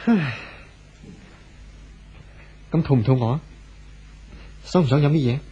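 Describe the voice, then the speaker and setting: A husky, anxious devoted husband. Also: male voice, mid-range voice, unhurried, dark tone. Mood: pleading. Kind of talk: 1970s radio drama acting. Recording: dull old radio recording.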